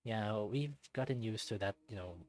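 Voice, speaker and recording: A man reading a visual novel aloud in an expressive way, dropping in casual asides of his own. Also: on the low side, normal speed.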